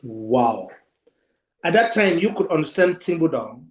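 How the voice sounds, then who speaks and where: A man's voice: fairly high pitch, very animated, a little slow. A man hosting an interview, asking short questions in a friendly, casual way. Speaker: interview host. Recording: echoey, dull-sounding room.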